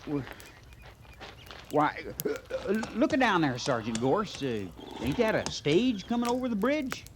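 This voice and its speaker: A folksy, work-shy young-hearted trooper from a radio western. His high, lilting voice swings up and down with a rural western American drawl. He is dreamy and easygoing, on a noisy old broadcast recording. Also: sing-song, a little slow. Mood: flustered. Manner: drawling, folksy, hesitant under pressure.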